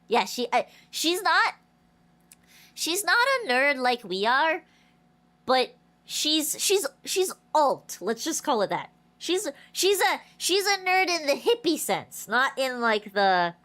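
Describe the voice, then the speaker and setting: A congested woman game streamer talks in a stuffy, nasal voice. She rambles through stories with lots of restarts. Her high voice swings widely up and down. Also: slow pace. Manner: rambling, animated storytelling with many restarts. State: congested, nose blocked. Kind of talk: casual livestream chat.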